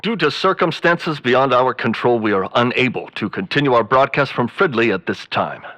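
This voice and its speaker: A man's voice: medium pitch, animated, normal speed, sharp tone, a little hiss or hum. Male station announcer, official old-time bulletin delivery, middle register, matter-of-fact. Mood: formal. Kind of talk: 1930s radio bulletin.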